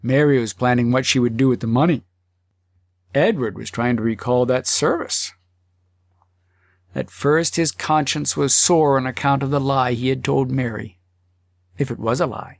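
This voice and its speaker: Guy narrating an audiobook, expressive storytelling, bit of gravel, really dry recording. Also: mid-range voice, moderate pace, long pauses, no echo. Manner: theatrical, dramatizing thoughts and dialogue.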